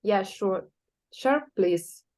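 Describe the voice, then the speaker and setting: A woman from Ukraine, hosting her own interview channel and speaking in halting sentences with many fillers. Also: medium pitch, lively intonation, a little mellow. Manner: halting, filler-heavy speech. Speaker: channel host. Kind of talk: interview host.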